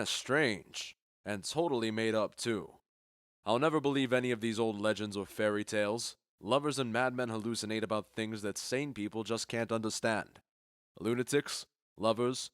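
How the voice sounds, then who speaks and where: A man's voice: on the low side, clean tone, no echo, studio quality, lightly acted. A man playing a confident, genial Athenian duke in a modernized Shakespeare audio drama, speaking in a self-assured, declamatory way. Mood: dismissive, musing.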